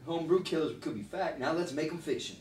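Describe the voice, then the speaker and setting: A man's voice: a little high, quick pace, darkish tone, natural voice. A man reading the part of a wry backwoods sheriff in an amateur table read. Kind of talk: amateur table read of horror comedy script. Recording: echoey room, faint noise.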